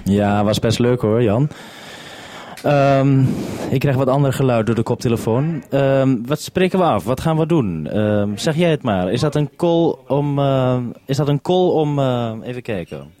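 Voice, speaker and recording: A man's voice: medium pitch, expressive, measured pace, dark tone. Een man die als radiopresentator onvoorbereid en wat zoekend een radioverbinding leidt, informeel van toon. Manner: informal, hesitant, uses radio procedure. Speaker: radio presenter running the link. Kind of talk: unscripted radio hosting.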